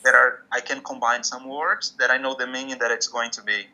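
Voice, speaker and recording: A man's voice: medium pitch, flat delivery, a little fast, bright, forceful tone, slight background noise. A man whose first language is Portuguese, a musician guest speaking English with frequent restarts, heard over a video call. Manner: hesitant, restarts often, searching for English words. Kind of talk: interview conversation. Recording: dull lo-fi sound.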